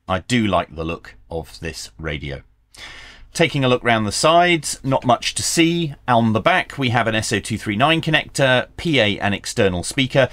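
A man in his fifties presenting a scripted product review in a measured, clearly enunciated way. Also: fairly low pitch, fairly bright tone, no echo. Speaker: host of a radio review channel. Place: small indoor workshop.

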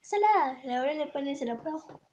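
A woman's voice: high-pitched, animated, fairly quick, darkish tone, lo-fi sound. A woman amateur voice actor joking casually in outtakes, playful and teasing.

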